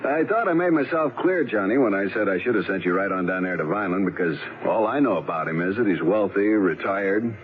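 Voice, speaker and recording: A man's voice: on the low side, fairly quick, hardly any pauses, close to an everyday voice. An actor playing a mild, cautious male insurance man in a 1950s radio detective drama, businesslike and slightly hesitant. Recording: noisy, muffled vintage radio recording.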